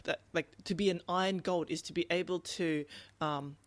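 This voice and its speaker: Higher-voiced man chatting, halting and conversational. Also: steady tone, no echo. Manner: halting, repeats words, seeks agreement with "right?". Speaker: podcast host. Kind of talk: casual book discussion.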